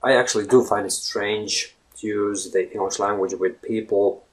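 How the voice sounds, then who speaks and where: A man with a Latvian accent and a slightly low voice talks casually straight to the camera. He wanders off the subject as he goes and keeps tagging his points with a questioning "right?". He sounds like someone in his thirties. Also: fairly even intonation, normal speed, rough recording. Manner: rambling, keeps asking "right?". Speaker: language blogger.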